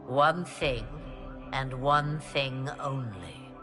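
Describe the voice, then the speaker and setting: A woman's voice: rumbling low, drawn-out words, heavily acted. An actress playing an ancient, imperious witch-mother in a video game, speaking in cryptic, theatrical, archaic phrases with a menacing edge. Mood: coolly commanding. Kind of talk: dramatic video game voice acting. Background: background music.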